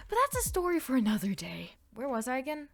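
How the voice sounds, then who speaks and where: A nineteen-year-old from Canada whose first language is Russian, telling a story in a casual, animated, self-deprecating vlog. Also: sits high, gravelly. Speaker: vlogger.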